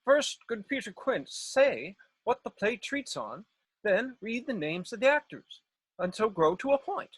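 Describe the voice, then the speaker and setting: A woman's voice: on the high side, very animated, unhurried, breathy edge, no room sound, heavily acted, rough recording. A woman playing a self-important, overeager male weaver in a Shakespeare read, declaiming theatrically. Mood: eager, bossy. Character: Nick Bottom, a bombastic, overeager male weaver and amateur actor. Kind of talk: Shakespeare table read over video call. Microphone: video call, close.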